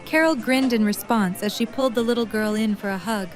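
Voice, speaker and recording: A woman's voice: on the high side, a little fast, faint music behind. A woman narrating a scripted story for an audio drama.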